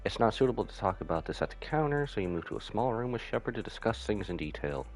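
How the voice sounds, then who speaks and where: Deep dude streaming a game, slangy, kinda skeptical, voice moves around a lot. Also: male voice, sing-song, quick pace, faint music behind. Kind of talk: casual live game commentary, reading dialogue aloud.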